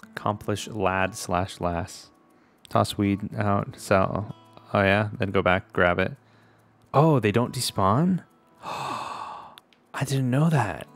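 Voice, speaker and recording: Gravelly low-voiced gaming host. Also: male voice, slow, pauses often, faint music behind. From Puerto Rico. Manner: laid-back, chatty, laughs often, talks to viewers. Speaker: let's play host. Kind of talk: casual gaming commentary. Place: home gaming room, indoors. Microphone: desk microphone with webcam.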